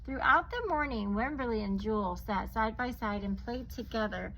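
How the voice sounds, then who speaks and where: Higher-voiced woman reading a storybook aloud, noisy background. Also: moderate pace. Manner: expressive reading, often drawing words out, with hushed asides. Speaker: teacher reading a storybook to families. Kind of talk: children's read-aloud with chatty asides. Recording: noticeable background noise.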